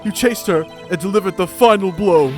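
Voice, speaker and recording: A man's voice: sits high, brisk, faint music behind, lightly acted. A man voicing a smug, pompous businessman with an affected, flowery manner, as in an amateur video game dub. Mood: smug, insistent. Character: pompous, smug, theatrical male businessman, condescending witness.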